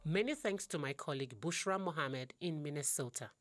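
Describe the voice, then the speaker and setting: A woman with a slightly low voice presents the news and puts long, measured questions to a colleague. Her delivery is composed and steady. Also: dry recording. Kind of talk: broadcast interview.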